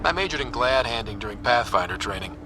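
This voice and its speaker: An actor playing a young, earnest and easygoing space explorer leader in a video game. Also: male voice, medium pitch, lively intonation, fast talker, over music, natural voice. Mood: confident, upbeat. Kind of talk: video game dramatic dialogue.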